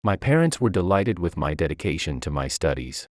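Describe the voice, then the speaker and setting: A man's voice: low-pitched, big pitch swings, brisk, dry recording, clean studio sound. A man reading a scripted dramatic story as its narrator.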